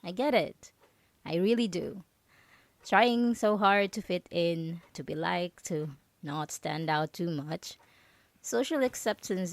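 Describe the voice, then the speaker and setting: A woman playing a possessive yandere roommate in an ASMR roleplay, coaxing and halting, with nervous, feigned innocence and false sweetness. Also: mid-range voice, no room sound. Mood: needling sympathy. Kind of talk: ASMR roleplay audio drama.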